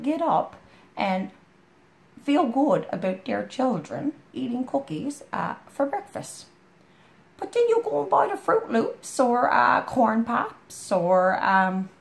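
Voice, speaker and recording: A hoarse woman with a chest cold, rambling in a folksy, heartfelt way as she chats to a friend. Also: on the high side. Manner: rambling, folksy, full of "you know". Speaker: storytime vlogger. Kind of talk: casual heartfelt chat to a friend.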